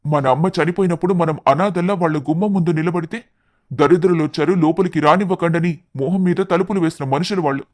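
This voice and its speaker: A man's voice: a little high, fast, darkish tone, lightly acted. Man as a resentful, proud elder brother. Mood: angry, bitter. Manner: indignant.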